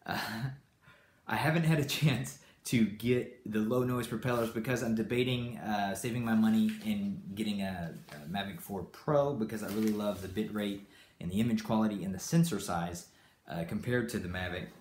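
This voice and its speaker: Low-voiced man, rambling live-stream chat. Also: moderate pace, darkish tone, echoey room, a little hiss or hum. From Brazil. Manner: rambling, with many fillers and restarts. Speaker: channel host.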